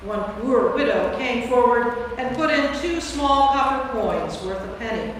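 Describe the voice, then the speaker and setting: A woman's voice: fairly high pitch, animated, measured pace. A woman narrating a scripted scripture story as part of a staged reading. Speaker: narrator, priest. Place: large reverberant church. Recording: echoey church, distant mic, faint noise.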